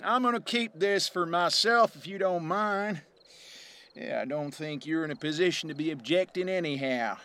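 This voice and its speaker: An actor playing a gloating, menacing Old West bounty hunter who drawls and taunts in an audio drama. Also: male voice, on the high side, moderate pace, bright, forceful tone. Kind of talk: western audio drama acting. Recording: music behind the voice.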